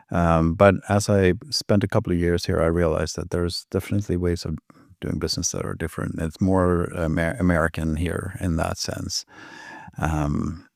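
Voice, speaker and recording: A man's voice: sits low, creaky, darkish tone, no echo, studio quality. A middle-aged man from Sweden, speaking English as an as a podcast guest, hesitant with many fillers and restarts. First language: Swedish. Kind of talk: casual interview chat.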